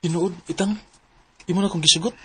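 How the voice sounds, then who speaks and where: Ardent high-pitched young Cebuano suitor. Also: male voice, fairly even intonation, fast, breathy edge. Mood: ardent, grateful. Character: Michael, young male suitor, ardent and coaxing. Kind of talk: Cebuano radio drama acting. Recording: music bed under radio drama voices. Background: music.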